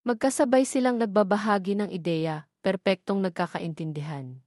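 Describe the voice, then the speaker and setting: A woman's voice: medium pitch, normal speed, darkish tone, dry recording, clean studio sound. A woman with a Filipino accent narrating a scripted audio drama story.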